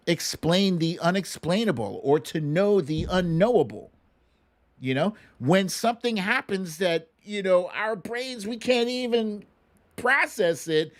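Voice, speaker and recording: An American man with a somewhat high-pitched voice hosts a live talk stream. He speaks conversationally and emphatically, giving his opinions bluntly and addressing his viewers as he goes. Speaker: host of a livestream talk show. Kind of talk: casual live commentary, chatting with viewers.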